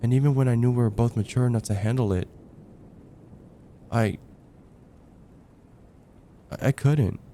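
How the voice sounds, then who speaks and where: Mellow-voiced lovestruck young guy, acted by a man. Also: medium pitch, slight background noise, lightly acted. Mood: regretful, vulnerable. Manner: halting, stammering, earnest. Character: lovestruck young male heartbreaker friend, nervous and earnest. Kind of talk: ASMR roleplay, intimate dramatic monologue.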